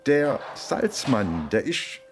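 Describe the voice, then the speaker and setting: Wry, animated male investigator. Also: medium pitch, slow pace, music in the background, heavily acted. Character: wry former footballer, sly investigator off duty. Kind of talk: radio drama dialogue.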